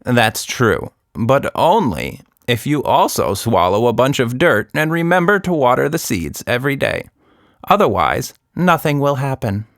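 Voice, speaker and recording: A man's voice: medium pitch, big pitch swings, a little slow, a bit bright, dry recording, studio quality, voice pushed up. A man voicing a small, timid but chatty monkey for a children's story, rambling earnestly and fussily. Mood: matter-of-fact.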